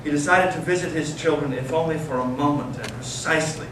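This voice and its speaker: A man playing an intense, anguished intellectual elder brother in a staged reading, swinging from hushed to impassioned. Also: on the high side, fast, lightly acted. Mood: hushed storytelling. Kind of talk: staged readers theater monologue and storytelling. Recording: echoey stage, distant mic, clear noise.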